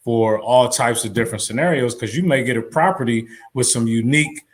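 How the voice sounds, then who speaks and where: A man's voice: mid-range voice, brisk. Easygoing man sharing advice. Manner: relaxed storytelling, fills with "you know". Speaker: guest. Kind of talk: casual advice chat.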